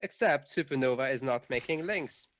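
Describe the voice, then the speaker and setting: A man with a Hungarian accent co-casting a strategy game, calmly explaining builds and upgrades. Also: medium pitch, normal speed. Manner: explanatory, analytical. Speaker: guest co-caster. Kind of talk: casual game analysis.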